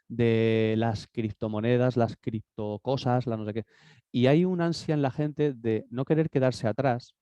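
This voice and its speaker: A man's voice: medium pitch, dark tone, no room sound, dull audio. A man with a cold giving an informal business seminar talk from his office desk. He hesitates often, with many fillers and restarts. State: has a cold. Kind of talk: informal presentation.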